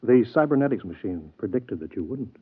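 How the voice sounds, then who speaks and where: An actor playing a businesslike space program chief with dry humor, in a 1950s radio drama. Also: male voice, on the low side, big pitch swings, a little fast, husky, dry recording, phone-quality sound. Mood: brisk. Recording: dry telephone-thin radio broadcast.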